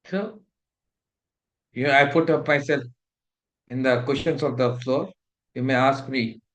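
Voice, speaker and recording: A man's voice: mid-range voice, steady tone, a little slow, fairly bright tone. A middle-aged man from India with an Indian English accent, lecturing a fellowship class in a preachy, scolding way and mixing in Hindi.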